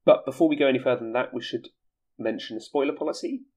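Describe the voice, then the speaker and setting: A man's voice: medium pitch, fast, a little mellow. A man hosting a casual podcast chat, sounding a little congested from a cold and explaining things at length. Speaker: podcast host.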